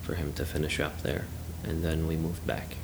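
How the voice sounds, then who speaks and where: A man from Turkey who grew up in Chicago, answering interview questions in a measured, matter-of-fact way. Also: extremely low pitch, level tone, vocal fry, background noise. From Turkey, raised in Chicago. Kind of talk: casual interview.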